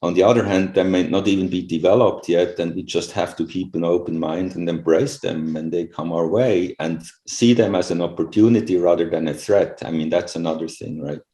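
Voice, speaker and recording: Middle-aged swiss guy, low voice, thinking out loud, lots of "you know" and "right?", roomy echo. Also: steady tone, brisk, hardly any pauses. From Switzerland. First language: not English. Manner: thoughtful, frequent "you know" and "right?" asides. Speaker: interviewed scientist. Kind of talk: conference interview, conversational. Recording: echoey room.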